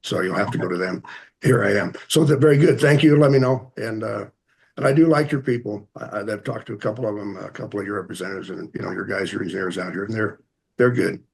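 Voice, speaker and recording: An American man giving halting, plain-spoken public comment at a hearing, speaking earnestly and hesitantly. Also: lowish voice, gravelly, breathy edge. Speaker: member of the public addressing a commission.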